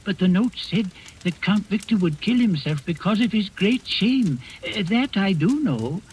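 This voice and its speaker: High-voiced, rambling old castle servant. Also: male voice, expressive, unhurried, darkish tone, slight background noise, poor audio. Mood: meekly rambling. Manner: rambling, deferential, theatrical. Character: rambling, sly old Danish castle servant feigning senility. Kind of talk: 1940s radio drama acting, narrating. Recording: old radio recording with music behind.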